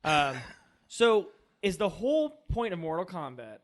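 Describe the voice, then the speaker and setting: A man with a distinctly high voice talks casually about film as a podcast co-host. His delivery is loose and conversational, like a chat among fellow hosts. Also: very animated, a little slow.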